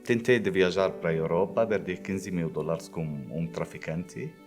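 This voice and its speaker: A man of thirty-nine from Syria with a Syrian accent, a native Arabic speaker, giving a halting documentary testimonial. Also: low voice, a little mellow. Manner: halting, searching for words. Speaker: refugee, small business owner. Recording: clear music bed behind.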